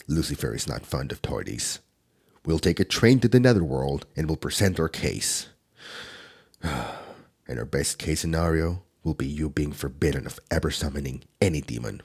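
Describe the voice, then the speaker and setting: A stern demon enforcer, played by a man, with an extremely deep voice. It is gravelly and husky. He scolds in low, measured tones and stays protective underneath. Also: heavily acted. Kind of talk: ASMR audio roleplay.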